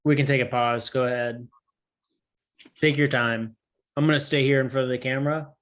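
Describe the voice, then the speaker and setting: A man's voice: mid-range voice, fairly even intonation, normal speed, a bit bright, slight background noise. Man, podcast co-host, casual chat, slightly nasal, meandering. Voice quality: a bit nasal. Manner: rambling, loses his train of thought.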